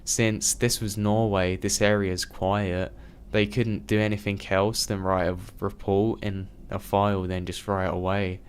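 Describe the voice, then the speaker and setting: A man reading true scary stories aloud in a steady, even first-person narration. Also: fairly low pitch, measured pace, slight background noise. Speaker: storyteller.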